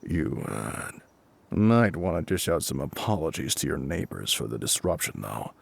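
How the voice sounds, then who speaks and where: A gruff fire captain, acted by a man with an extremely low, husky voice. His delivery rises and falls freely while staying calm and reassuring, as if speaking directly to one listener in an audio roleplay. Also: exaggerated voice. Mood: dry, reassuring. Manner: measured, matter-of-fact, reassuring. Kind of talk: intimate scripted audio roleplay spoken to the listener.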